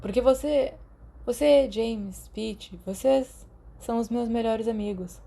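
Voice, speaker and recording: A woman with a Brazilian accent voicing an earnest, awkward teenage boy in an audiobook, halting and agreeable. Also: on the high side, creaky, dark tone, voice pushed up, full character voice. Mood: hurried, earnest. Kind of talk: audiobook character dialogue.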